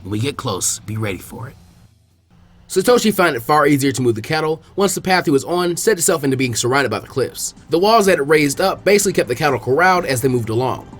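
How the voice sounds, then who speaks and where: A man narrates a fan story with a lively, expressive read. His voice sits fairly high and moves all over the place, with faint music underneath. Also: fast talker, hardly any pauses. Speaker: fanfiction reader.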